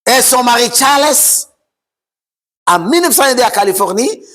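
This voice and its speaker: A man preaching a sermon as pastor, shouting and sing-song, repeating phrases over and over. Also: very high voice, expressive, normal speed. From Democratic Republic of the Congo.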